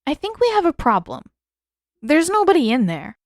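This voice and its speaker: A woman's voice: high voice, wildly swooping pitch, normal speed, pitched above the performer's own voice. A woman voicing an earnest, puzzled young teenage boy hero in an audiobook story. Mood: puzzled, sheepish. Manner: calling out, questioning. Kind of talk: audiobook character acting.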